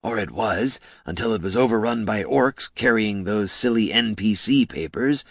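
A man's voice: lowish voice, animated, moderate pace, fairly bright tone, no echo, studio quality. A man voicing a pompous, smug businessman in a fantasy audiobook, drawling with leisurely condescension. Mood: disdainful.

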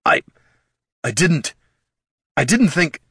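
A man voicing a troubled skeletal undead commander who speaks haltingly, in a fantasy audiobook. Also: a little high, sing-song, fairly bright tone, husky, no echo, clean studio sound, voice pushed up, exaggerated voice. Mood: stunned. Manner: halting, stammering. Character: troubled skeletal male undead Knight Commander, faltering leader.

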